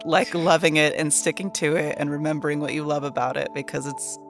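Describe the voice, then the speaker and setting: A woman's voice: low voice, flat delivery, fairly quick. A woman chatting casually and supportively as a podcast host who also writes. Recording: music clearly audible behind the voice.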